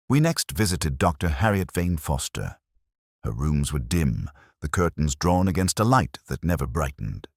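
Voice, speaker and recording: A man reading scripted first-person detective narration in a measured, literary, brooding manner. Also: very deep voice, slightly rough, no echo, clean studio sound.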